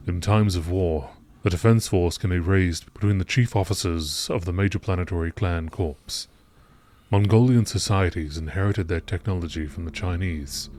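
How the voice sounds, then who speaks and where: A man's voice: low-pitched, darkish tone. Man narrating over noisy ambience. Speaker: video creator reading game lore aloud. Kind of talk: scripted narration, nature documentary style. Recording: clearly noisy background.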